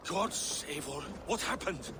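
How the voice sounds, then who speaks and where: Stern old Viking, noisy backdrop. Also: male voice, fairly high pitch, lively intonation, measured pace. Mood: alarmed. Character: stern older Viking king, worried father. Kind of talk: video game cutscene acting. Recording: clear outdoor water ambience noise.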